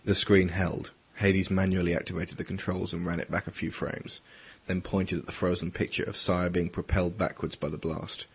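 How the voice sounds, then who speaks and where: A man with a husky voice reading dramatic scripted narration for an audio drama. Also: deep voice, level tone, normal speed, few pauses.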